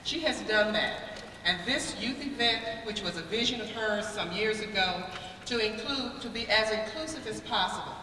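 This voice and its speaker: A speaker addresses a large indoor gathering with a formal tribute, stopping and restarting phrases. The voice booms around a huge hall and sits far from the mic. Steady hiss runs under it. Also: normal speed. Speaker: worship leader presenting an award. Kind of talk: public address from a stage. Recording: echoey arena heard from far off.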